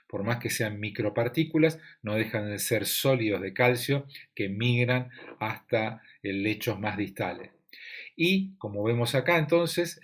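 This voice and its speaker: A man with an Argentine accent giving a technical medical presentation over slides, explaining in a steady way with frequent fillers. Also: on the low side. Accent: Argentine Spanish. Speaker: lecturer at a medical imaging congress.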